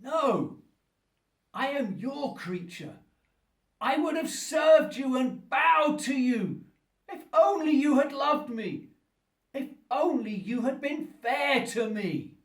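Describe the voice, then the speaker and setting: A lonely brooding monster in a radio drama, really slow n grand, echoey room. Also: male voice, extremely high pitch, lively intonation, full character voice. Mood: anguished, pleading. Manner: slow, grandiloquent, pleading and menacing delivery. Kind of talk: theatrical radio play acting. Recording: roomy echo, distant from the mic.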